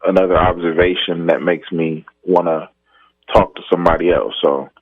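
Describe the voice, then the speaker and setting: A man's voice: deep voice, steady tone, moderate pace, no echo, narrow, tinny audio. A man, a stand-up comedian, chatting casually on a podcast about his start, rambling with frequent laughs. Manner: laid-back, rambling, laughs often.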